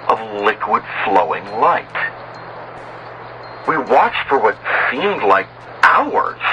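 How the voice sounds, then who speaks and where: A man reading a first-person horror story as dramatic scripted narration, in a measured, solemn, literary manner. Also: mid-range voice, background noise.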